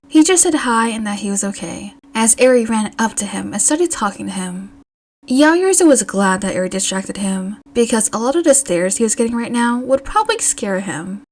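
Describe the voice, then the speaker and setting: Higher-pitched woman narrating a story. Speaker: fan fiction audiobook narrator.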